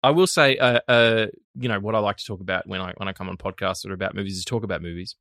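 A man's voice: low-pitched, steady tone, fast, a bit bright, no echo, clean studio sound. A man guest on a podcast, telling stories in a laid-back, rambling way. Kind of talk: casual chat.

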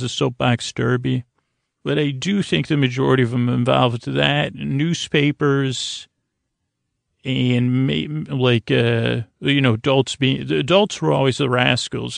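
A man with a creaky, gravelly voice hosting a bedtime podcast in a rambling, halting monologue, often interrupting and restarting himself. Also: medium pitch, dry recording, clean studio sound. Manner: halting, meandering, self-interrupting with many restarts. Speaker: podcast host. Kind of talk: rambling bedtime monologue to keep listeners company.

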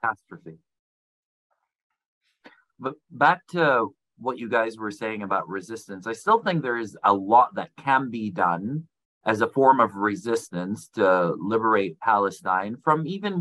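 Man co-hosting, bright forceful voice. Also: fairly low pitch, very animated, rough recording. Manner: measured, with fillers like "you know". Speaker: podcast co-host. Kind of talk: conversational podcast talk.

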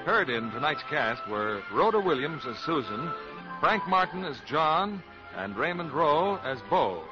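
Animated radio announcer, music behind. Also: male voice, fairly high pitch, unhurried, dull audio. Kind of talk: scripted 1940s radio announcing.

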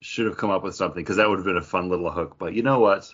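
A man's voice: sits low, rapid-fire, fairly bright tone, dull audio. A man co-hosting a podcast in casual chat, telling stories with theatrical build-ups. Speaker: podcast co-host. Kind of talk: casual chat with dramatic storytelling.

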